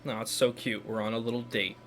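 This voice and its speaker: Woman gamer, extremely low voice, casual, playful, wry reactions, playthrough commentary. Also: level tone, fairly quick. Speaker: gaming video creator.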